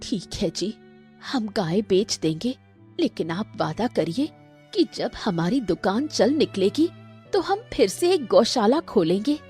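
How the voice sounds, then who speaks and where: A woman's voice: medium pitch, lively intonation, unhurried, darkish tone, soft background music, natural voice. A woman voicing a devoted, stubborn village wife in a cartoon story, firm and emotional. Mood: reluctant consent. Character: devoted, stubborn village wife who loves her cows.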